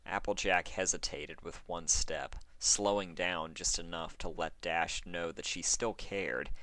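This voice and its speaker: Low, bright-voiced male story narrator. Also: fairly even intonation, measured pace, no room sound, rough recording. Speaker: fan fiction narrator.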